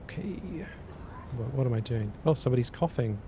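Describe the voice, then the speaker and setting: A man streaming casually, answering chat and working through math aloud in a halting way that repeats words. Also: animated. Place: cold indoor room. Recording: noisy with a low rumble.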